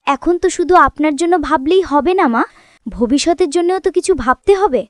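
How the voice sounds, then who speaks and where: High-voiced arrogant young wife played by a woman. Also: no echo, studio quality. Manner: scolding, complaining. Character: arrogant, selfish, sharp-tongued young wife. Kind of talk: dramatized cartoon voice acting.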